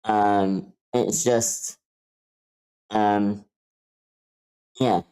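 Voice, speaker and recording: A man giving frank critique in an online meeting, blunt, deliberate and emphatic in his delivery. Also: lowish voice, fairly even intonation, dark tone, smooth, poor audio. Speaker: table-read participant giving script feedback. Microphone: online meeting call.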